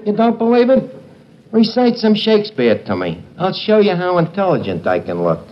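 An actor playing a cocky, uneducated tavern manager in a 1950s radio comedy, with a New York accent and pompous, long-winded mangling of big words. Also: male voice, high voice, wildly swooping pitch, moderate pace, dull audio. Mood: boastful. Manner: long-winded, pompous, mock-genteel malapropisms. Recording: noisy old radio broadcast, loud studio audience.

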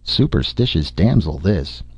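A man voicing a gruff male family doctor in a storybook, dry and grumbling in manner. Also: low-pitched, brisk, warm tone. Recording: muffled audio in echoey room.

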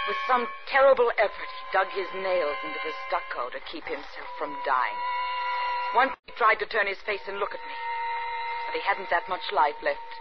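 An actress in 1950s radio suspense style, playing a cold, calculating wife who murders her husband, composed and deliberate. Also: lowish voice, background noise, natural voice. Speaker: actress narrating in first person. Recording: muffled vintage radio recording with music.

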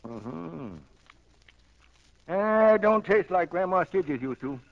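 A man's voice: sits high, big pitch swings, takes it slowly, gravelly, full character voice. A folksy middle-aged American farmer in a vintage radio play. His delivery swings widely, with sly, good-natured muttering. The broadcast sound is muffled and dull. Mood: folksy coaxing. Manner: folksy rural speech, muttering asides. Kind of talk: 1940s radio acting.

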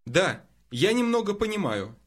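A man's voice: high-pitched, very animated. Man repeating drill answers expressively. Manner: careful, measured repetition. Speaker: model speaker in language drills.

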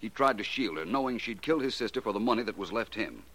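Seeking a shrewd gentleman detective for 1940s radio: low voice, theatrical, highly animated delivery. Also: fast, husky, exaggerated voice. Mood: wry, satisfied. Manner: assured, articulate, theatrical. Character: shrewd, confident gentleman detective, coolly explaining crimes. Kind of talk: 1940s radio drama acting.

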